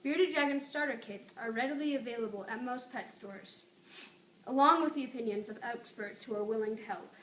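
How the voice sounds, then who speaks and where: High-voiced woman presenting in an echoey room. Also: fairly quick, fairly bright tone. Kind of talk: scripted informative speech, read aloud. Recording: roomy echo, noticeable background noise.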